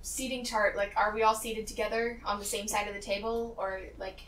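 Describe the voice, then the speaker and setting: A woman's voice: mid-range voice, flat delivery, a little fast, fairly bright tone, distant mic. A woman playing a tabletop game, casually putting questions to the game master. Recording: echoey room, off the mic.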